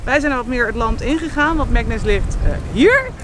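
A woman's voice: fairly high pitch, animated, normal speed. A woman in her thirties talking chattily to a camera she holds at arm's length outdoors, explaining her travels. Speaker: vlogger. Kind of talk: casual travel vlog. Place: outdoors, sunny campsite. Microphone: camera held at arm's length. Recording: noisy outdoor camera audio.

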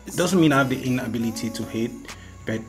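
A young man co-hosting a laid-back video chat, teasing in a loose, rambling way. His voice sits at a middle pitch and is caught by a webcam in a small room. Music plays clearly underneath. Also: fast talker. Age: young adult. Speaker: vlog co-host. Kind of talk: casual chat. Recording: music playing behind.

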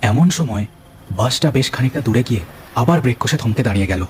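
A man's voice: mid-range voice, animated, quick pace, a little hiss or hum. A man telling a cartoon ghost story as narrator, with dramatic scripted delivery.

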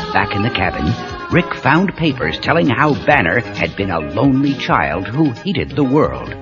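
A man narrating a children's adventure story in a dramatic, emphatic scripted style. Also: mid-range voice. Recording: muffled cassette with prominent music.

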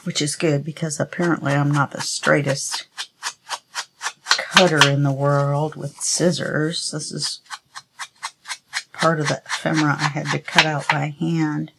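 A woman's voice: sits low, level tone, measured pace, gravelly. A woman hosting a craft tutorial, casually chatting to herself as she works, a little sniffly. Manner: chatty, talks to herself while working. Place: craft table at home. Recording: noisy home recording.

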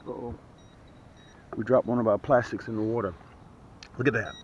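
A man hosting a casual fishing vlog from a small boat on a lake, excitable and repetitive, often dropping to a hushed voice as he talks straight to viewers. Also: fairly low pitch, normal speed. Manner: drops to a hushed voice on the water. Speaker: fishing channel host. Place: small boat on a lake outdoors, early morning rain. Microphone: action camera mounted on the boat. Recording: dull lo-fi camera audio with faint outdoor noise.

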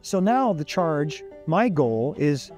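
An older man talks deliberately in an interview, putting questions to himself. His voice is fairly high yet very mellow, with soft music behind it. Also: sing-song. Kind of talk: documentary interview. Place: outdoors. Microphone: lapel.

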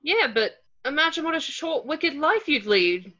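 A woman's voice: high voice, sing-song, unhurried, pushed and bright, exaggerated voice. A woman playing a blunt, down-to-earth teenage boy wizard in a scripted table read on a dull-sounding video call. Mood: blunt, casual.

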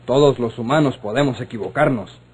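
An actor playing an imperious, short-tempered Mexican police colonel in a radio serial, with a husky voice. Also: male voice, medium pitch, a little fast. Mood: embarrassed, pleading. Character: irascible, imperious male secret police colonel. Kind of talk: old radionovela acting.

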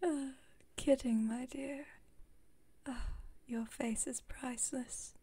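A haughty, playful vampire woman acted by a woman whispers in a breathy, mellow voice. Her delivery stays fairly even, with formal, wry teasing and a protective streak, as in an intimate ASMR roleplay. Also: sits high, extremely slow, full character voice. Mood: amused, flirty.

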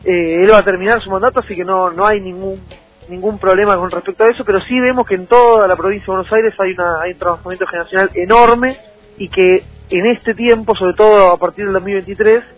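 A man's voice: high-pitched, fairly even intonation, fast, narrow, tinny audio, faint music behind. A man in his thirties with a Rioplatense Argentine accent, answering conversationally as a political candidate in a radio interview, often repeating words as he goes.

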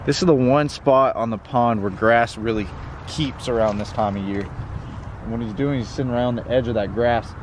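A man's voice: medium pitch, normal speed. A young man, casually and enthusiastically explaining fishing in a folksy way as a video host. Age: young adult. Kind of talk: casual instructional fishing vlog. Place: outdoors on a pond bank. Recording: outdoor wind and ambient noise.

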